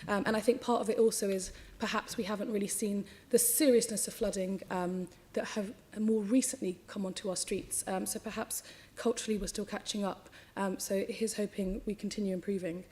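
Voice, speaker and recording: Croaky woman assembly member. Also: medium pitch, steady tone, brisk, darkish tone. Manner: long winding questions with fillers. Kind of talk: committee questioning.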